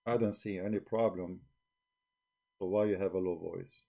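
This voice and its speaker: A man's voice: low voice, fairly even intonation, normal speed, gravelly, mellow. A man hosting a live stream, challenging and blunt, speaking broken English into a desk microphone. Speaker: livestream host. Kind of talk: argumentative live-stream talk.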